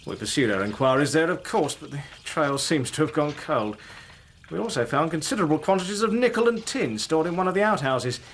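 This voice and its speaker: A businesslike, bossy male police inspector in a radio drama. His voice sits slightly high and moves in lively swings. He is curt and impatient with his men, and faint noise sits behind him. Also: brisk.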